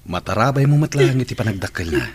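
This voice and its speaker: A man's voice: medium pitch, lively intonation, quick pace, a little hiss or hum, lightly acted. A man with an Ilocano Filipino accent playing a stern middle-aged father in a radio drama, commanding and emphatic. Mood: concerned, reassuring.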